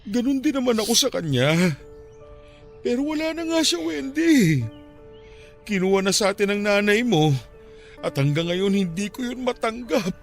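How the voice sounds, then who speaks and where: A man performs a heartbroken father mourning his wife, in a tearful radio-drama voice that cracks with grief. The voice sits high and grainy, with faint music running under it. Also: big pitch swings, moderate pace, long pauses. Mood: anguished. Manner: tearful, pleading, voice breaking with grief. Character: grieving widowed father, middle-aged, heartbroken and tender.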